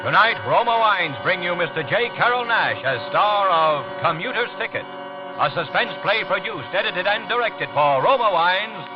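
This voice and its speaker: American man, formal dramatic show announcer, 1940s radio, higher voice, dull old recording. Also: lively intonation, over loud music. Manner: formal, deliberate emphasis.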